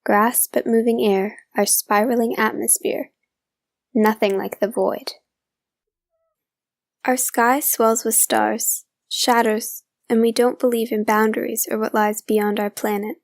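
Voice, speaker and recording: Female poetry reader, lightly high voice, dry sound, deliberate phrasing. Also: flat delivery, vocal fry, no echo. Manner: deliberate, short pausing phrases. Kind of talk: poetry recital.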